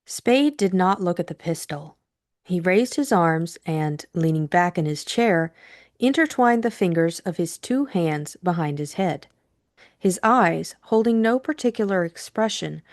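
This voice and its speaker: Low-voiced woman narrator, close dry recording. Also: lively intonation, measured pace, a little mellow, no echo. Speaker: co-host and audiobook narrator. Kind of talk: scripted introduction and novel narration.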